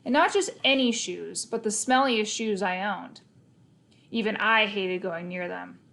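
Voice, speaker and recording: A woman reads a children's novel aloud in a mid-pitched voice. She tells it in the first person, leaning on key words and acting out the story as she goes. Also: a little slow, gravelly, fairly bright tone. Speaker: storybook reader.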